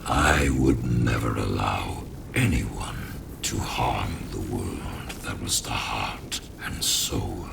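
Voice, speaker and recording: A man voicing an immense, solemn, merciful godlike devourer of worlds with a gravelly rumble, in a grave comic-dub drama delivery. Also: full character voice. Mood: solemn, tender. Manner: grave, deliberate.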